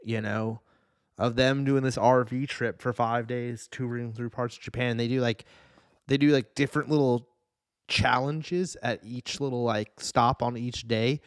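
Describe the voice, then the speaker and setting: A man's voice: medium pitch, steady tone, unhurried, clear, resonant tone, no room sound. Casual, sweary man chatting, dry sound. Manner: profane, informal. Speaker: podcast co-host. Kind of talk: casual chat.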